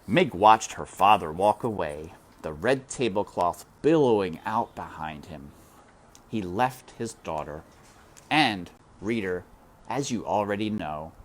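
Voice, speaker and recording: A man reads a children's novel aloud at an unhurried pace, with a lively, expressive pitch. He lingers on dramatic moments and pauses to speak directly to his listeners. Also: slight background noise. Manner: dramatic, addresses listener directly, slow and deliberate. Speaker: reader.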